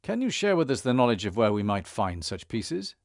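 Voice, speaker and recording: An actor playing an earnest, inquisitive young hero in a fantasy game, speaking in a direct, questioning way. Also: male voice, mid-range voice, sing-song, a little fast, no echo, studio quality. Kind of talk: fantasy game cutscene acting.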